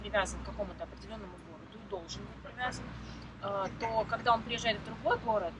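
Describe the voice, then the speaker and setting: Woman explaining things over loud traffic. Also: mid-range voice. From Moscow, Russia. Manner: measured and explanatory. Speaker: interview guest. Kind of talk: explanatory conversational answers. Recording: distant mic with loud traffic noise.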